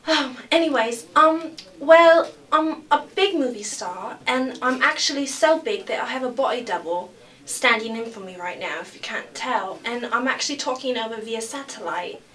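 A woman acting a high, bright, vain movie star diva. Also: lively intonation, normal speed, distant mic. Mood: smug.

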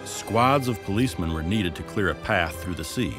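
A man giving measured, scripted documentary narration. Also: deep voice. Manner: even, measured reading. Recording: music bed behind.

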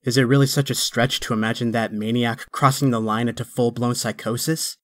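An actor playing a young, wisecracking but stressed superhero in a comic dub, with a sardonic, conversational delivery. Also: male voice, medium pitch, fairly even intonation, a little fast, dry recording, studio quality, close to an everyday voice. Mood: anxious brooding. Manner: sardonic, conversational inner monologue. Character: young male superhero, wisecracking yet stressed and self-doubting. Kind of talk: dramatic comic dub acting.

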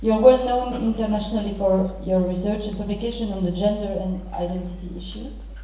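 A middle-aged woman moderating a panel, introducing guests and posing questions formally in halting, deliberate phrases. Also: medium pitch, steady tone, dark tone, slight background noise. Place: large theatre stage. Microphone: handheld microphone through hall speakers. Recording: very echoey theatre PA, distant, muffled.

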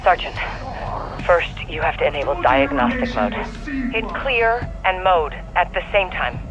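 An actor playing a controlled but urgent mission controller, giving soldiers precise instructions over the radio in a video game. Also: male voice, high voice, animated, measured pace, muffled recording. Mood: focused. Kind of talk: military video game acting.

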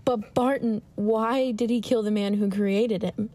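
A woman's voice: a little high, steady tone, unhurried, mellow, natural voice. A woman playing an earnest, shaken lady scientist in an old-time radio mystery. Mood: shaken, resolved.

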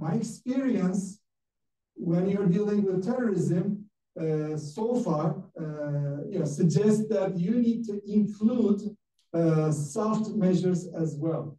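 A man's voice: high voice, lively intonation, dark tone. Turkish-accented man lecturing, full of fillers. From Turkey. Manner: halting, many filler sounds. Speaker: guest lecturer. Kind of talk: academic lecture. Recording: echoey room, mic at a distance.

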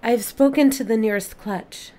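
A woman playing a careful, formal alien scientist in an audio play, speaking precisely with deliberate pauses. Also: on the high side, mellow, close to an everyday voice. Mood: careful, explaining. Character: alien witness, careful, formal female scientist-observer of planets.

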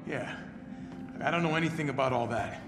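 A man's voice: medium pitch, a little fast, music in the background, lightly acted. A man playing a cocky, easygoing young mercenary soldier in a video game, talking in a casual, flippant way. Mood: defensive, insistent. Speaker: video game character actor. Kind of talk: game cutscene acting.

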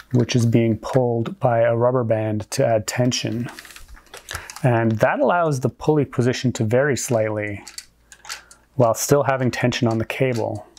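A man in his forties hosting a hobby video, giving a scripted, clearly structured explanation in an upbeat way. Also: medium pitch, a little mellow, a little hiss or hum. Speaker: host of a brick-building channel.

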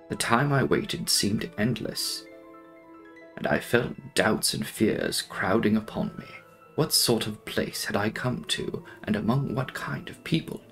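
A young man narrating a gothic novel as a dramatic reading, in a theatrical, deliberate and formal manner. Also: lowish voice, gravelly, fairly bright tone. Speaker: audiobook narrator.

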